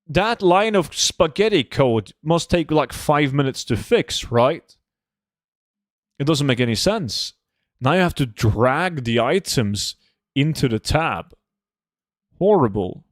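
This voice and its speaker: A man's voice: fairly high pitch, no room sound, studio quality. A man from Norway, chatting casually and bluntly as he livestreams a game, swearing freely. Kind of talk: casual livestream chat while gaming.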